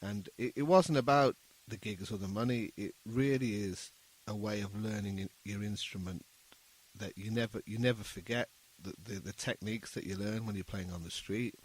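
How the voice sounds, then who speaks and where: A man's voice: lowish voice, normal speed, slightly rough, poor audio. A middle-aged man talking casually as an interview guest, earnest and repeating words as he gets going. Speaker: musician guest, saxophone busker.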